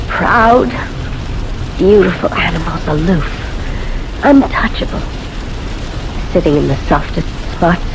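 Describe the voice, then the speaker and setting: An actress playing an intense, domineering, bitterly jealous woman in an old-time radio drama, speaking in a commanding, deliberate, dramatic way. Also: fairly low pitch, background noise. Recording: old radio recording with music.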